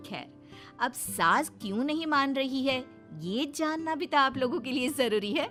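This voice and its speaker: A woman's voice: sits high, animated, unhurried, music in the background. A woman narrating scripted comic family stories as a storyteller.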